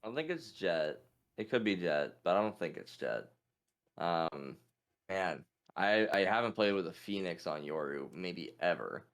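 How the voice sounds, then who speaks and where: Low-voiced, animated man chatting casually. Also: measured pace. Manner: deliberating, informal. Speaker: quiz contestant. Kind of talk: casual chat, thinking aloud.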